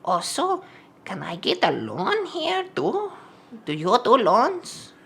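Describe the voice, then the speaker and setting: A woman's voice: fairly high pitch, huge pitch swings, slow pace, vocal fry, slightly rough, full character voice. A woman voicing a chatty, bumbling male shoe-shiner who speaks broken English in a put-on foreign accent. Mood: eager. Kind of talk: tabletop roleplay in character.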